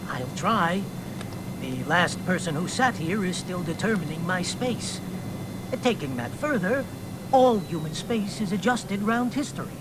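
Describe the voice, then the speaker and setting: A man's voice: high-pitched, expressive, measured pace. An actor playing a reflective male poet on a plane, speaking in musing aphorisms in a radio drama. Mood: pensive. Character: brooding middle-aged male poet on a plane. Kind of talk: 1990s radio drama acting. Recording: noisy radio broadcast recording.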